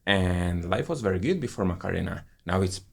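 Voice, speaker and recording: Deep gravelly-voiced man. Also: lively intonation, brisk. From Romania. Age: 30s. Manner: effusive, enthusiastic storytelling with non-native English phrasing. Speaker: guest, wine professional. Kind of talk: casual conversation.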